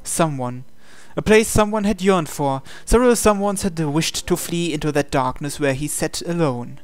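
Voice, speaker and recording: A man's voice: on the high side, moderate pace, clear, resonant tone, soft background music. A man reading the scripted narration of a visual novel aloud in a dramatic style.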